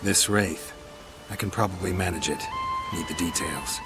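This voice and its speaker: A man acting a deep, terse monster hunter. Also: moderate pace, over loud music, lightly acted. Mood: businesslike. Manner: terse, deadpan. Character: stoic male monster hunter for hire, terse, unflappable. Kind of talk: video game cutscene acting.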